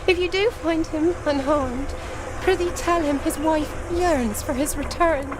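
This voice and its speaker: Devoted anxious wife, female, high voice, earnest, pleading, period video game drama. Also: unhurried, noisy background. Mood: yearning. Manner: earnest, pleading, old-fashioned wording. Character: anxious, devoted wife yearning for imprisoned husband.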